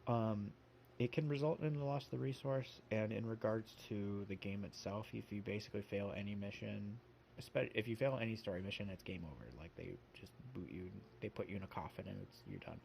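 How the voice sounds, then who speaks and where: A man with a fairly low, warm voice talks through a video game in loose, casual commentary. He's a little sniffly from allergies and keeps stopping to fix his wording mid-sentence. There's a faint hum of room noise behind him. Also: a little fast, vocal fry. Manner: rambling, self-correcting, lots of fillers. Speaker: let's play host.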